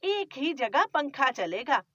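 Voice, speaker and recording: A penny-pinching older mother-in-law nags and scolds in a loud, high voice, as a woman puts her on. The sound is dry and slightly dull. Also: big pitch swings, slow pace, no room sound, exaggerated voice. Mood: scolding.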